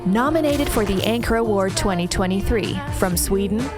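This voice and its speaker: A woman reads a scripted promotional voice-over. Her delivery is smooth and even, pitched at a middle height. Music plays clearly underneath her. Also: normal speed. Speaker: voice-over narrator. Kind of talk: scripted narration.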